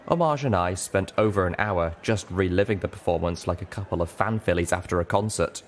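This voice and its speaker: Man, low pitch, audiobook narrator, scripted first-person storytelling.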